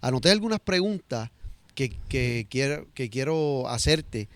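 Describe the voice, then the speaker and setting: A man's voice: mid-range voice, lively intonation, measured pace, a bit bright. A man with a Puerto Rican Spanish accent interviewing casually on a podcast, often repeating words as he forms his sentences. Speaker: guest interviewer.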